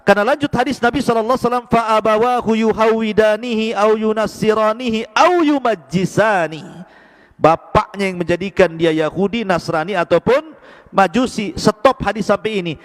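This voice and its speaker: Guy preaching, high voice, lots of reverb, swings up high then drops low and slow for recitation. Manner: animated, swings between high emphatic lines and low slow Arabic recitation. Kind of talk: sermon to a congregation. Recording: echoey hall.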